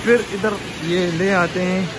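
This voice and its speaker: A man's voice: high voice, fairly even intonation, measured pace, noisy background. A man with a Pakistani Urdu accent, filming on a phone as he walks through a workshop and explains each step in a chatty vlog. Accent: Urdu-speaking Pakistani. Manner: chatty, repeats "you can see" while pointing. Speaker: vlogger showing a factory. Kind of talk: casual walkthrough vlog. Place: indoor workshop with machines. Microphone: handheld phone camera.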